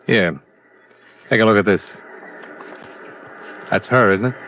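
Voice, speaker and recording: An actor in a 1950s radio drama playing a blunt, hard-boiled insurance investigator who talks in a terse, matter-of-fact way. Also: male voice, lowish voice, slow, a little hiss or hum. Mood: firm, businesslike. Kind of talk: 1950s radio detective acting. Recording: dull vintage radio recording.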